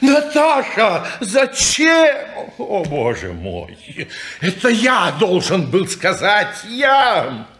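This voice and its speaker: A fussy old householder. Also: male voice, high-pitched, wildly swooping pitch, extremely slow, a bit bright, heavily acted. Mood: flustered. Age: older. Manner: fussy, lecturing, sighing. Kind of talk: 1950s radio play acting.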